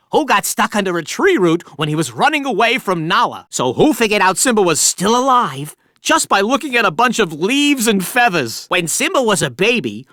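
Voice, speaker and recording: A man's voice: high-pitched, a bit bright, no room sound, clean studio sound. An actor voicing a wisecracking male meerkat who hosts a video game quiz, chatty and laughing at his own jokes. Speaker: quiz host.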